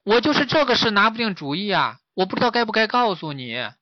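A storyteller voicing a timid, earnest little pig in a children's story, high and loud. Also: very animated, fast, dry recording, lo-fi sound, close to an everyday voice. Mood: fretful.

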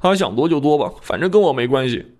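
A man's voice: medium pitch, very animated, fast, darkish tone, natural voice. A man voicing a disgruntled, cheeky fat apprentice in an audiobook, with an emphatic, complaining delivery.

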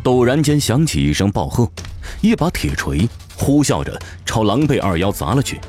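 Very animated male fantasy narrator. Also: on the low side, moderate pace. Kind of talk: dramatic scripted fantasy narration. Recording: prominent music behind the voice.

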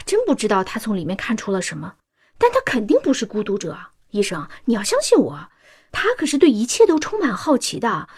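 A woman's voice: a little high, darkish tone, slightly rough. An actress playing a devoted, anxious working-class mother in a science-fiction radio drama, pleading and sighing emotionally. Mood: disbelieving, pleading.

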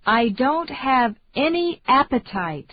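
Slow, deliberate woman drilling phrases. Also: high-pitched, big pitch swings, slightly rough. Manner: slow, deliberate, carefully enunciated. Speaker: English phrase reader. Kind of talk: slow repeat-after-me drill. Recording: dry, tinny phone-like sound.

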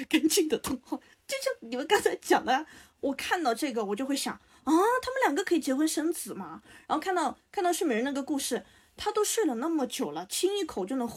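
A woman's voice: high-pitched, sing-song, brisk. A young woman chatting casually and animatedly among friends, talking through imagined examples.

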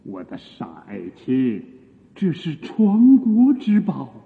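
A man's voice: high voice, wildly swooping pitch, slow, exaggerated voice. A man acting a doting emperor in a theatrical Chinese radio drama, effusive and coaxing. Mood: fond, coaxing. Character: doting emperor coaxing his beloved concubine. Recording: phone-like lo-fi sound in long reverb.

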